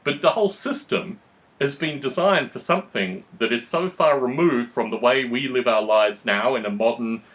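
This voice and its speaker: Deliberate, wordy man talking politics. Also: medium pitch, a bit bright, rough recording. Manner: wordy, deliberate, formal phrasing. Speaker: podcast host. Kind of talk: opinionated political discussion.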